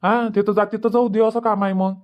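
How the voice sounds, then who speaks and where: A man's voice: sits high, little pitch movement, brisk, lightly acted. A man voicing a worried, reluctant village father in a cartoon comedy, questioning and protesting with a Vidarbha Marathi accent in a dull recording. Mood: worried objecting.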